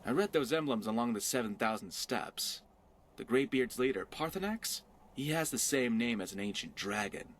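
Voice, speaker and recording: A man's voice: medium pitch, animated, normal speed, lightly acted. A man playing a wry, curious, easygoing pirate companion in a video game, talking in a sardonic, conversational way. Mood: wry, musing. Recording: background music under the voice.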